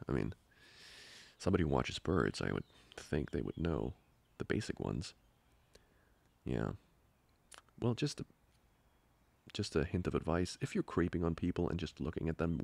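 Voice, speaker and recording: A man playing a jealous, protective young boyfriend in an improvised ASMR roleplay, grumbling with halting, sarcastic asides. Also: big pitch swings, darkish tone, dry recording, exaggerated voice. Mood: sarcastic, accusing. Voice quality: very deep, gravelly, husky.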